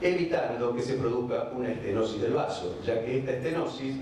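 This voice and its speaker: Argentine male surgeon, measured conference lecture with hesitations, noisy room, distant pickup. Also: medium pitch, expressive, a little mellow. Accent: Argentine Spanish. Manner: measured, with frequent hesitation fillers. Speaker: vascular surgeon giving a conference talk. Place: large conference hall. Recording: echoey hall recording from afar, background noise.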